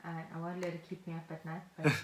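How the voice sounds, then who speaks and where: A South African woman co-hosting a podcast in casual conversation, recorded with roomy echo. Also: on the low side. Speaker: podcast co-host. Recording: roomy echo, faint background noise.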